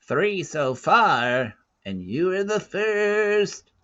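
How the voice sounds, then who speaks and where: A man's voice: sits high, very animated, drawn-out words, a bit bright, muffled recording, voice pushed up, full character voice. A man voicing a kindly, brawny old cave woman with a motherly manner in a storybook reading. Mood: thoughtful, fond.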